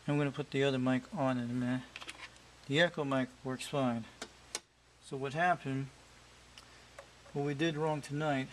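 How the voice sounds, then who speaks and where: A man, an amateur CB radio operator, talking casually and informally in a friendly way with radio slang. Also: medium pitch. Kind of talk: casual hobbyist chat and radio talk. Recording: clearly noisy home video recording.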